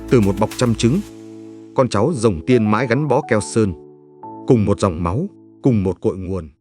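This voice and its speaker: Low-voiced man narrating a legend over music. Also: normal speed, warm tone. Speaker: story narrator. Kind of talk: scripted legend narration for an animated film.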